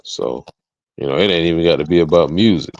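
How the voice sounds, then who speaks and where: American man, very deep voice, casual slangy guest, lots of "you know", muffled lo-fi recording. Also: fairly quick, creaky, darkish tone. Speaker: interview guest, music artist and entrepreneur. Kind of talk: casual chat. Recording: dull lo-fi audio, roomy echo.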